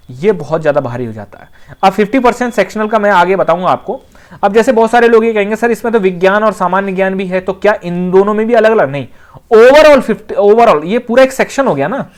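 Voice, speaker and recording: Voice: medium pitch, lively intonation, fairly quick. An exam coaching teacher, explaining things informally and conversationally, repeating points and often checking that students follow. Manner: conversational, with frequent check-in phrases and repetition.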